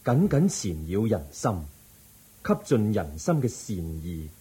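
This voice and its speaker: An actor playing a serene, solemn Buddha who preaches calmly in a Cantonese radio drama, with a Hong Kong Cantonese accent. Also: male voice, fairly low pitch, husky, heavily acted. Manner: measured, calm, didactic.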